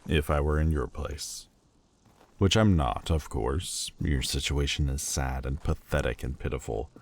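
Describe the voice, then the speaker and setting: A man's voice: rumbling low, measured pace, full character voice. A man voicing a proud, bitter demon lord who speaks in a cold, cutting, haughty way and hides a lovesick heart. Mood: flustered. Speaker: voice actor. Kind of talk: audio roleplay acting.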